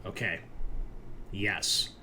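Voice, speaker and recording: A man's voice: extremely slow, pushed and bright. A man narrating as game master in a casual, joking, informal way. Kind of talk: casual narrating of an online tabletop roleplaying game.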